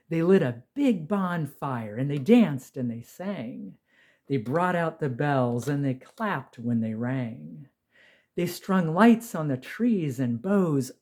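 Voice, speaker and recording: A man reading a rhymed story poem aloud as an expressive, measured storyteller. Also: fairly high pitch. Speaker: poetry reader, narrator.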